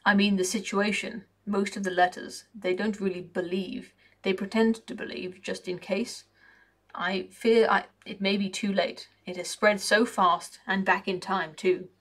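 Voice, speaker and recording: A woman voicing a solemn, heavy-voiced male figure of death who speaks with slow, puzzled formality. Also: mid-range voice, steady tone, a bit bright, recorded from a distance.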